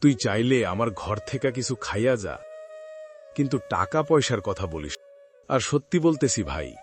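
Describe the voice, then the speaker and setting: A man playing an apologetic, hard-up friend in a cartoon story, with a Bangladeshi accent and an expressive, regretful delivery. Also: mid-range voice, lightly acted. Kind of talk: dramatic cartoon story acting.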